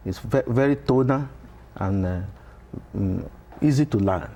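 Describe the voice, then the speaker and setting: A middle-aged Nigerian man, a Yoruba-speaking professor, explaining patiently in a TV interview with frequent pauses. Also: mid-range voice, vocal fry, mellow. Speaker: professor, guest. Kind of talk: interview conversation. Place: television studio.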